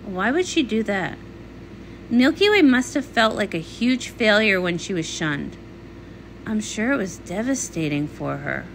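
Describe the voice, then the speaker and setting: A woman performing an animated comic read-along, acting out the characters. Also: medium pitch, measured pace, creaky, slight background noise, low-quality recording.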